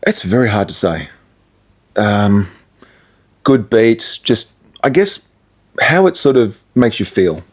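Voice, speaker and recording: A man's voice: lowish voice, very animated, slow, long pauses. A middle-aged man with an Australian accent, a radio presenter talking casually in an interview and thinking aloud as he goes. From Perth, Australia.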